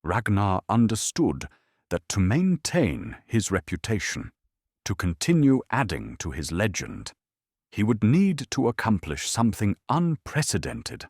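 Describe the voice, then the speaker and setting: A man with a very low, husky voice narrates a calm bedtime documentary. His delivery is measured and solemn, with wide rises and falls in pitch that shape each phrase like a storyteller. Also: no echo, clean studio sound.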